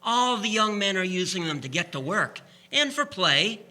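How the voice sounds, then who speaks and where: A man playing a brisk, opinionated 1890s bike shop clerk in a community radio drama, speaking emphatically. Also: sits high, very animated, pushed and bright, exaggerated voice. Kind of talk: community radio drama acting in early live radio manner.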